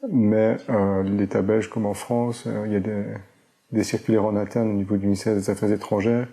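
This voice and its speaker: A man's voice: on the low side, flat delivery, normal speed, mellow. A man answering interview questions, hesitant, with many fillers and restarts. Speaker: interviewee, father.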